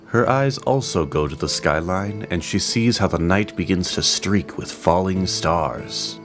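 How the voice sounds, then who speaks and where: A male storyteller narrates scenes in an audio drama with a very deep voice. His delivery is measured and descriptive. Soft music plays beneath the narration. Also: lively intonation. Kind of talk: scripted narration. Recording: music underneath.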